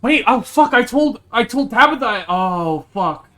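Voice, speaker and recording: A man's voice: extremely high pitch, lively intonation, unhurried, fairly bright tone. A man reading a visual novel aloud on a live stream, dropping into casual, sweary asides. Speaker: game streamer. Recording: music behind the voice.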